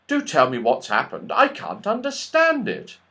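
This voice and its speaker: Man playing a bold, high-pitched schoolboy. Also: huge pitch swings, unhurried, vocal fry, pushed and bright, heavily acted. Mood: brisk, earnest. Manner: emphatic, plain-spoken. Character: bold, indignant young boy adventurer. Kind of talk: children's storybook reading.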